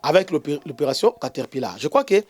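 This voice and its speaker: Impassioned Congolese man, fairly high voice. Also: big pitch swings, darkish tone. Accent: Congolese French. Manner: halting, many fillers and restarts, mixes in Lingala. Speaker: bishop and channel host. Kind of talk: impassioned political commentary monologue.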